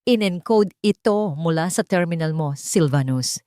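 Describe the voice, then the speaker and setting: A woman with a Filipino accent voicing a calm, authoritative, stern male company boss in an audio drama. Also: fairly low pitch, measured pace, no echo, clean studio sound. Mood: calm, grave.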